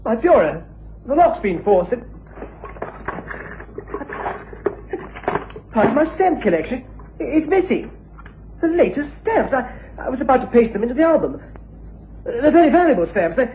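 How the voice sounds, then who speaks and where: An actor playing an anxious young upper-class English student in a radio drama, stammering and fussy. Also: male voice, extremely high pitch, big pitch swings, moderate pace, long pauses. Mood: agitated. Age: young adult. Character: flustered, anxious young aristocratic male college student. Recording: muffled, hissy old radio recording.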